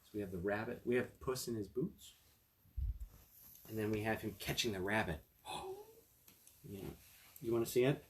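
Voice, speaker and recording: A man's voice: fairly low pitch, normal speed, long pauses, a little hiss or hum. A man reading a fairy tale aloud as a storyteller, with casual asides to his listeners. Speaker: storyteller, attorney.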